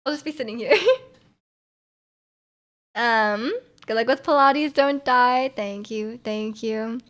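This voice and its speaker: A woman from Canada streaming, chatting casually with viewers and reading their messages aloud, full of "like" fillers and quick laughs. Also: a little high, fairly bright tone. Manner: laughs often while talking.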